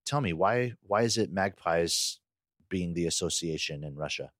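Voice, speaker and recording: A man's voice: sits low, animated, normal speed, fairly bright tone, no echo, clean studio sound. A man chatting casually as a podcast co-host. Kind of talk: casual chat.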